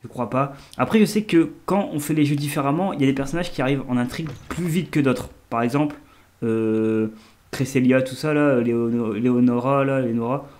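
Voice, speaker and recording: A man's voice: mid-range voice, brisk, a little mellow. A man talks through his gameplay, chatty and rambling, often correcting himself mid-sentence. His voice sits in a middle range, with an allergic sniffle in the mix. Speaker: gaming channel host. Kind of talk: let's play commentary.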